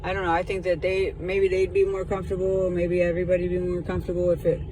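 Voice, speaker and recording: A woman speaking hesitantly and haltingly as a caller explaining a situation on a phone call. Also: on the low side, level tone. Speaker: caller reporting to sheriff's department. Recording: noisy phone call, car and traffic noise.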